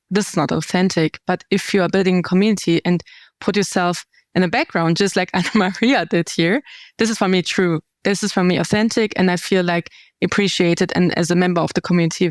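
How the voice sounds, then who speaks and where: Woman with a smooth, clear voice. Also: lowish voice, no echo. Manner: explanatory, searching for words, many fillers. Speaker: guest, community founder. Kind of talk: conversational podcast interview.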